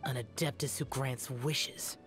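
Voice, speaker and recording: A man's voice: mid-range voice, steady tone, measured pace, a bit bright, close to an everyday voice. An actor playing a stern, weary, youthful male immortal demon slayer in a video game, speaking curtly in formal, archaic phrasing. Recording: game audio with background music.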